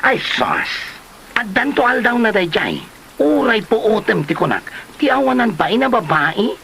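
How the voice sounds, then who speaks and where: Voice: medium pitch, sing-song, moderate pace, fairly bright tone, poor audio. An actor playing a touchy, boastful, flamboyant gossip with a grudge in a comedy drama, exclaiming and sighing in an Ilocano accent. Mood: exasperated. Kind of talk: colloquial comedy drama acting. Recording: clear background noise.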